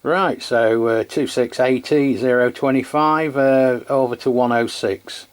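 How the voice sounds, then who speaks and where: A man's voice: medium pitch, fairly even intonation, a little slow, clear, resonant tone. A man chatting casually as he hosts a hands-on radio equipment test. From England. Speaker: radio channel host testing equipment.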